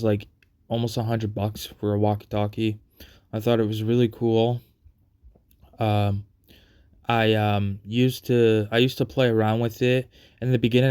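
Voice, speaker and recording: A man with a clean, smooth voice tells stories casually. His pitch stays flat and fairly narrow as he rambles along, halting and circling back over the same phrases. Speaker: storyteller. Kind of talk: casual personal storytelling.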